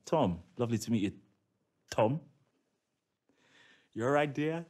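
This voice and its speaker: A man's voice: mid-range voice, animated, takes it slowly, darkish tone. A man playing a charming, self-deprecating racing driver, hesitant and joking in a naturalistic game interview. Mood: nervous, friendly.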